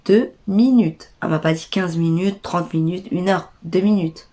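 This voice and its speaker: A woman's voice: on the low side, normal speed, dark tone. A young woman with a stuffy nose from a cold tells an indignant, slangy story straight to her viewers. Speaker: vlogger. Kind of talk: casual storytime rant.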